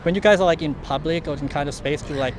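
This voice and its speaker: A man's voice: fairly high pitch, racing, noisy background. A man answering in casual, filler-heavy speech outdoors on a city street.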